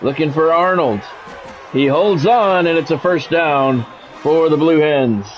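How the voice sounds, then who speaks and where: A man's voice: fairly high pitch, unhurried, highs cut off, slight background noise. A man doing play-by-play commentary on a football video game in a conversational way, with wry asides. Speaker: play-by-play announcer.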